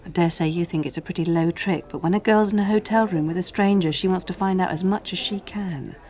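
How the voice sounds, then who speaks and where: A worldly, guarded woman played by an actress in a radio drama, her voice sitting on the low side. She plays an escort who speaks candidly and wryly, keeping things matter-of-fact while staying wary. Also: brisk, a little mellow, natural voice. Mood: frank, matter-of-fact. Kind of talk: naturalistic radio drama acting.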